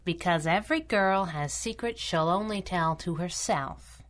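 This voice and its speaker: A woman's voice: on the low side, measured pace, lightly acted. An actress playing a spunky, wisecracking young female sidekick and mechanic in a radio drama, with a Canadian accent. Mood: earnest. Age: young adult. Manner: sassy, teasing banter. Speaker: hero's sidekick.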